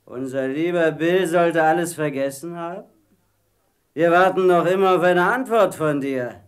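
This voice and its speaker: An actor playing a sly pirate in an audio drama, mock-friendly and insinuating. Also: male voice, a little high, measured pace, clear, resonant tone, natural voice. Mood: sly, taunting. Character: sly male pirate, needling an old shipmate. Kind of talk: German audio drama acting.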